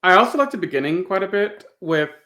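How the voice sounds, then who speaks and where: Male co-host, a bit higher in register, informal conversational fan discussion. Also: sing-song, fast talker, bright, forceful tone. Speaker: podcast co-host.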